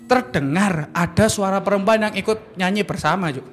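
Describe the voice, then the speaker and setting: Seorang pria muda dengan logat Jawa Timur, membawakan cerita santai sebagai co-host podcast. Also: male voice, sits high, moderate pace. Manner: chatty storytelling, mixing Indonesian and Javanese slang. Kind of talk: casual podcast banter.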